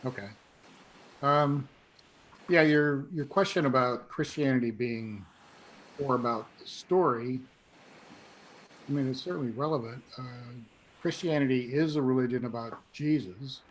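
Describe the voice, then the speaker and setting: A hoarse man taking part in a webinar over a video call, his voice quiet and trailing off. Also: medium pitch, a little slow, long pauses, a little hiss or hum, low-quality recording. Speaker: webinar participant. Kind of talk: casual discussion on a video call.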